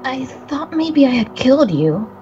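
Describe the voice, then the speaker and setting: A woman's voice: high-pitched, big pitch swings, moderate pace, mellow, lo-fi sound, faint music behind. A woman voicing a nervous young boy spirit who stammers and gives himself away. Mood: flustered. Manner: stammering, flustered, self-incriminating. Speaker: guest voice actor on a game stream.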